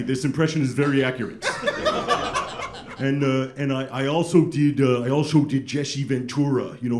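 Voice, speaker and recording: A middle-aged man works a comedy club crowd in a dry, self-mocking tone, his voice sitting at an ordinary middle pitch. The sound is roomy and echoing, with plenty of clear noise behind him. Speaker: stand-up comedian. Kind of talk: stand-up comedy set. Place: comedy club stage with a laughing audience.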